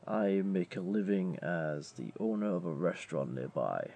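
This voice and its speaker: A man playing a flirty, comforting jaguar beastling in an intimate audio roleplay, gently coaxing the listener along. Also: low voice, expressive, normal speed, creaky, exaggerated voice. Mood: reassuring, friendly. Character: flirty, comforting male jaguar beastling, patient restaurant owner.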